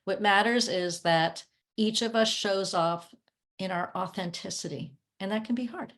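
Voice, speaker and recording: A woman's voice: medium pitch, normal speed. Reflective woman explaining in an online interview. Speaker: guest, coach working with clients. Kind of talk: conversational interview. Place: remote video call.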